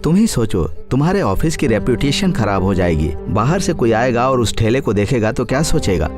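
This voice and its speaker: A man voicing a snobbish wealthy business client in an animated story, with a haughty, critical manner. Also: mid-range voice, brisk, over music, close to an everyday voice. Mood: disdainful. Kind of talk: animated moral story dialogue.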